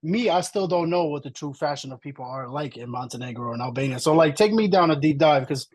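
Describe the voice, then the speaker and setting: A man's voice: a little high, animated, fast talker, slightly rough, no echo. Upbeat chatty male podcast host. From Slovenia. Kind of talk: casual podcast interview.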